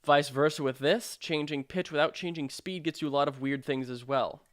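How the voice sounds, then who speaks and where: A man narrating a software tutorial in an informal, explanatory way with hesitant phrasing. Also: a little high, fairly even intonation, moderate pace, no echo, studio quality. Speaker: sound design tutorial presenter.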